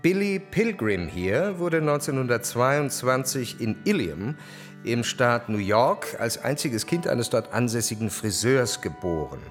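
A lively male broadcast interviewer with very varied intonation. He presents in radio play style, at a medium pitch. Faint music runs underneath. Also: a little slow. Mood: brisk, presenting.

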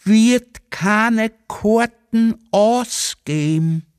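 A man playing a starved, devilishly smug Austrian ticket clerk, gloating in a drawn-out refusal. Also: sits high, smooth, no echo, clean studio sound, voice pushed up, full character voice.

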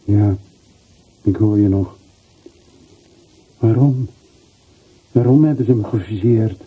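A man's voice: lowish voice, slow, breathy edge. Vermoeide man, hese telefoonstem. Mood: subdued, questioning. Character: weary, regretful middle-aged former soldier, long estranged. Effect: telephone voice filter. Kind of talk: radio play dialogue. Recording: muffled phone-like old radio recording.

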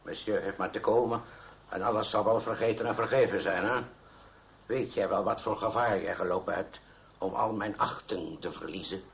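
Creaky, blustering old aristocratic uncle. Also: male voice, on the low side, fairly even intonation, normal speed. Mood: outraged. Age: older. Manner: gruff, blustering, scolding. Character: irascible aging aristocratic uncle, blustering but wounded. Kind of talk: radio drama acting. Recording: dull old radio drama recording.

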